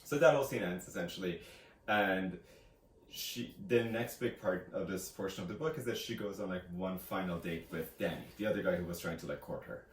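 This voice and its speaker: A man's voice: low voice, a little fast. A man chatting casually as a vlog co-host, retelling a story in a rambling, hesitant way. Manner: rambling, hesitant, quoting characters in casual asides. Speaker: co-host of a book discussion vlog. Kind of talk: casual chat, retelling a novel's plot. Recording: echoey room, faint background noise.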